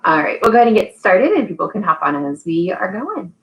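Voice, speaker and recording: A woman's voice: medium pitch, sing-song, quick pace, a little hiss or hum, rough recording. A woman hosting a live storytime for young children, speaking in an encouraging, child-directed way that turns sing-song when she leads activities. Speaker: early childhood storytime host and teacher.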